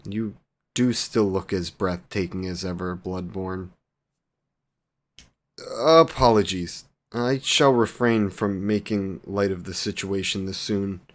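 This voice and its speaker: A man's voice: low-pitched, takes it slowly. A man playing a devoted, wry vampire boyfriend in a scripted romantic audio roleplay, speaking in ornate, formal phrases. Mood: tender.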